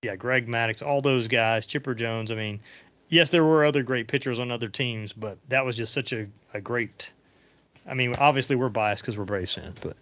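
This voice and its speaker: A man's voice: medium pitch, moderate pace, a bit bright. A middle-aged man hosting a casual sports chat podcast, talking in a rambling way that trails off and rephrases. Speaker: podcast host. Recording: dull lo-fi audio.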